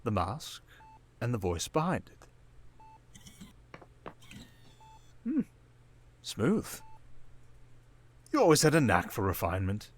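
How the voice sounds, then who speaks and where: A man playing a suave, cunning villain in an intimate ASMR roleplay, speaking in a silky, theatrical, teasing way with deliberate pauses. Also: medium pitch, huge pitch swings, a little hiss or hum. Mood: tender, confessional, then amused, teasing. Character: suave, cunning male criminal mastermind villain, seductive and teasing.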